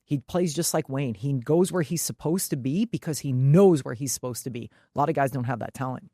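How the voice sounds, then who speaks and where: A woman's voice: very deep voice, brisk, dark tone, dry recording, clean studio sound. A woman co-hosting a sports podcast, chatting casually in a blunt, emphatic way with frequent swearing.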